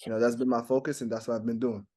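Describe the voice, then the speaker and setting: A young man from Montreal who grew up speaking French talks through interview answers. His delivery is flat and even, and he keeps restarting phrases and padding them with fillers. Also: mid-range voice. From Montreal, Canada. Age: young adult. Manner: halting, many "you know" fillers and restarts. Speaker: basketball draft prospect.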